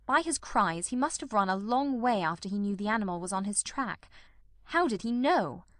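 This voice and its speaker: A performer voicing a shrewd, grimly determined male detective in a radio mystery, speaking formally and deliberately. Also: high voice, animated, fairly bright tone, no room sound, clean studio sound. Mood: analytical. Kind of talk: old-time radio mystery drama.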